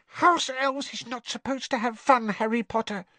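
A man voicing a tiny, timid female house elf servant with a quivering squeak and deferential, broken grammar. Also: fairly even intonation, normal speed, bright, forceful tone, no echo. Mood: dutiful. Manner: broken grammar, deferential, constantly says sir.

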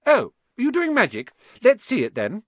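A man voicing a bossy, know-it-all young schoolgirl who talks very fast. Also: very high-pitched, wildly swooping pitch, no echo, pitched above the performer's own voice, full character voice. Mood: eager. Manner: bossy, rapid-fire.

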